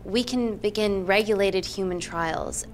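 An actress playing a poised young doctor presenting formally to investors in a film game. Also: female voice, medium pitch, measured pace, lightly acted. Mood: confident, persuasive. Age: young adult. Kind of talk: screen acting, polished corporate presentation. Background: film music.

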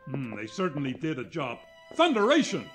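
Casting: gruff, harried American police inspector, higher-pitched than most men, animated and brusque, vintage radio mystery. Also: male voice, moderate pace, faint music behind. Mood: exasperated. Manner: brusque, exclamatory. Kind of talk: 1940s radio drama acting.

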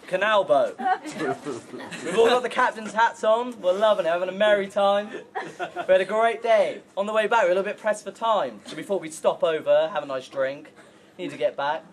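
A man's voice: high voice, expressive, a little slow, pauses often. A young man in his twenties giving a joking best man speech at an outdoor wedding, telling stories and chuckling as he goes. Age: 20s. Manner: joking, storytelling, chuckling through lines. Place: outdoor pub garden at a wedding. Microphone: far camera. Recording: crowd laughter and loud noise from a far camera. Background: laughing wedding crowd.

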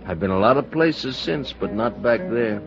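An actor playing a drunk, bitter middle-aged TV producer with a theatrical, rambling delivery in an old-time American radio drama. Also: male voice, mid-range voice, lively intonation, normal speed, gravelly, faint music behind. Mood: wry, bitter. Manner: rambling, mock-grand, chuckling. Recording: muffled old radio broadcast recording.

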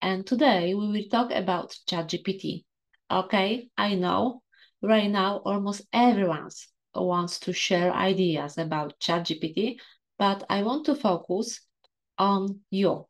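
Low-pitched woman explainer, English. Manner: simple, slightly unidiomatic English in short phrases. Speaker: host of an educational video channel. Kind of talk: scripted explainer narration. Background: background music.

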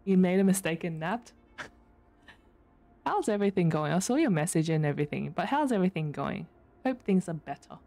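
A woman streamer from Australia with an Australian accent, whose first language is not English, chatting casually with her chat, laughing often and breaking into high squeals. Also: big pitch swings, fairly quick, dark tone, soft background music. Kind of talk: casual chat reading chat messages.

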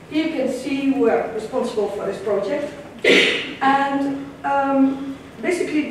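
A Dutch-accented woman presents at a conference, speaking with a somewhat high voice and a measured delivery full of ums and restarts. She is picked up from the back of a big lecture hall, so her voice carries a heavy room echo. A faint hiss of room noise sits underneath. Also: a little mellow. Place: large lecture hall with audience. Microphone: room camera far from the stage. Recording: echoey lecture hall from far off.

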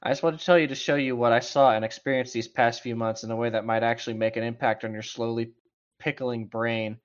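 A man's voice: mid-range voice, steady tone, fast, dull audio, a little hiss or hum. A man co-hosting a casual read-aloud of a story, with sarcastic, mocking and profane commentary. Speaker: co-host reading a story aloud with running commentary.

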